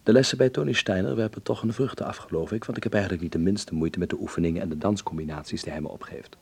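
A thoughtful young man abroad in a 1930s radio drama. His voice sits low with a gravelly creak, and his talk is reflective and natural. The old recording is clearly noisy. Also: fast, a little mellow. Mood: fond, reflective. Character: thoughtful young Dutch dancer living in 1930s Berlin.

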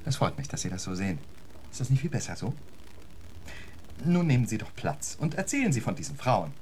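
An actor playing a witty, urbane gentleman poet in a radio drama, elaborately polite, wry and eloquent. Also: lowish voice, big pitch swings, a little fast, slight background noise. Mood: courteous, breezy.

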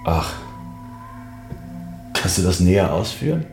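A man with a lowish, very lively voice plays a questioning, puzzled subordinate. It is radio drama dialogue with faint music behind. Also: takes it slowly, vocal fry, full character voice.

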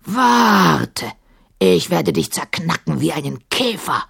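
A woman's voice: deep voice, sing-song, slow pace, creaky, a bit bright, husky, heavily acted. A huge, cruel djinn from a children's storybook, acted out by a woman narrator. He bellows and growls with mocking menace, lording it over everyone smaller. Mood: enraged, roaring.

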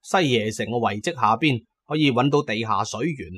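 Male storyteller with medium pitch. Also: moderate pace, fairly bright tone, husky. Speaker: audio drama narrator. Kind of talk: dramatic first-person storytelling.

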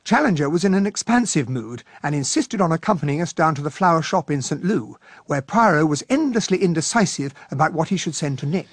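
An actor playing a genteel English gentleman, a detective's loyal companion, narrating a radio drama in a measured, polite, husky voice. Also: on the high side, animated, no room sound, clean studio sound. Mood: measured narration.

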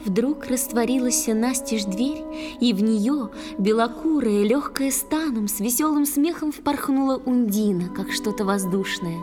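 A woman's voice: on the high side, measured pace. A woman narrating a verse fairy tale as a dramatic storyteller in an audio play. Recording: music under the voice.

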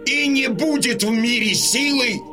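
An actor playing a proud, defiant old Cossack chieftain in a radio drama, impassioned and declamatory. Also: male voice, extremely high pitch. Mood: defiant, prophetic. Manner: declamatory, impassioned, drawn-out delivery. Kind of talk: Russian radio drama acting. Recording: music behind the voice.

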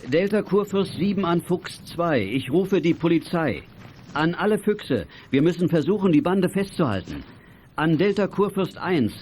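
An actor playing a friendly, calm father who helps child detectives by CB radio in a children's audio drama. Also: on the high side, moderate pace, slight background noise, natural voice. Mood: urgent, commanding. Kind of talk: 1980s German children's audio drama acting.

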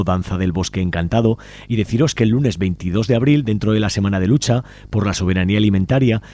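A man with a low, mellow voice speaks Spanish with an accent from Spain. He presents community radio announcements informally, inviting listeners in directly. His delivery moves along very quickly and stays conversational.

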